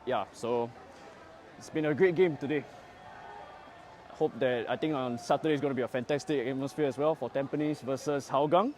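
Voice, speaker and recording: A man losing his voice, chatting excitedly on a phone outside a football stadium after a match. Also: medium pitch, moderate pace, slight background noise. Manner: excitable, rambling with fillers. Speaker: football vlogger. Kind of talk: casual post-match vlog. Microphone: handheld phone with clip mic.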